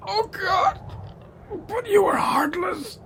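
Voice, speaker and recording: An actor playing a lonely, bitter man of eighty in a radio drama, his voice creaky and quavering, halting and breaking into cries. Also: very high voice, over a noisy crowd, full character voice. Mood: anguished rage. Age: 80s. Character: anguished, lonely eighty-year-old man, bitter and raging. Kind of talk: old-time radio drama acting.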